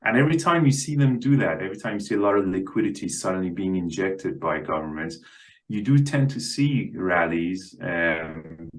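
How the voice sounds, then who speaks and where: A man speaking as a guest analyst in an unscripted interview, halting and often repeating the starts of words. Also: sits low, animated, rough recording. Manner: halting, stammers on word starts.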